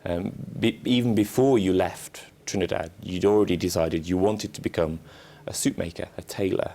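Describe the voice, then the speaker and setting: Deep, mellow male TV interviewer. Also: steady tone, gravelly. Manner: measured, formal introductions and prompts. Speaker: TV interview host. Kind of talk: broadcast interview.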